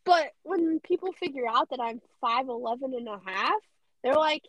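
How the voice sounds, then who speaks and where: A young woman with a high, squeaky voice, chatting casually and bubbly as a podcast guest. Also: animated, normal speed, a bit bright, no room sound, poor audio. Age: young adult. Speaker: radio host guest.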